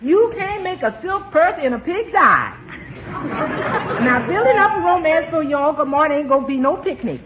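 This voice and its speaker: An actress playing a folksy, skeptical household cook with a drawling delivery in old radio comedy. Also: female voice, high voice, expressive, normal speed, crowd noise. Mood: wry, doubtful. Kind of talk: 1940s radio comedy acting. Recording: noisy vintage radio with audience sounds.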